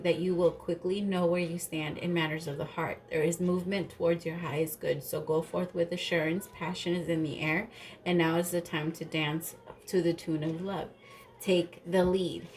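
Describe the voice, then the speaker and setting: A woman's voice: fairly low pitch, darkish tone. A woman reading tarot cards in a casual, rambling way, a little stuffy and nasal from allergies, swearing now and then. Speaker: tarot reader.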